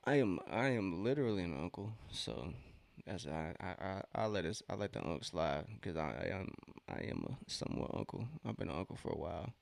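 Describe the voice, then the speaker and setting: Low-voiced thirty-year-old man, casual sports streamer. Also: animated, brisk, gravelly, dry recording. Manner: laid-back, slangy. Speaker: livestream watch-along host. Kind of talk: casual sports commentary and chat with viewers.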